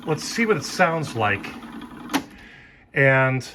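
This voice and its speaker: A man's voice: mid-range voice, big pitch swings, slow, background noise. A man giving a casual hands-on equipment demo, explaining things informally and thinking aloud as he goes. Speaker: vintage audio hobbyist presenter. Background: music playing.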